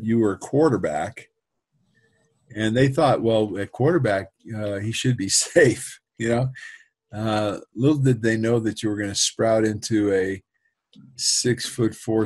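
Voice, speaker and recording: Raspy-voiced coach, lowish and teasing. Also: male voice, a little mellow. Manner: teasing, chuckling between lines. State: raspy voice from playing golf. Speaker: quarterback coach hosting an interview. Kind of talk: casual interview.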